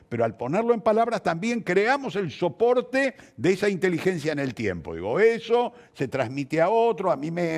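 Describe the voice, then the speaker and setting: A man's voice: on the high side, darkish tone. An older man with an Argentine Spanish accent reflecting in an interview, repeating and rephrasing for emphasis. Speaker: interviewed theatre director and teacher.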